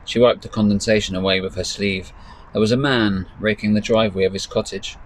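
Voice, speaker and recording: A man reading his own story as dramatic narration, with measured, deliberate pauses. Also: lowish voice, fairly even intonation, rough recording. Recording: faint background noise.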